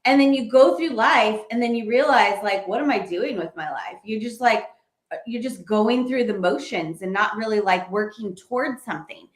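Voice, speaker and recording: A woman's voice: a little high, normal speed. A woman co-hosting a casual advice chat, explaining steadily and listing practical questions. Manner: steady, explanatory, lists questions, light laughs. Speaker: co-host. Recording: roomy echo.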